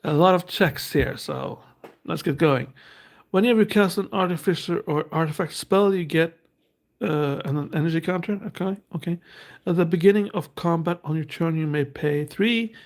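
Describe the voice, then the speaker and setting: A man's voice: fairly high pitch, moderate pace. A man, hosting a casual unboxing and reading card text aloud in a hesitant, thinking-aloud way. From Norway. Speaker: host.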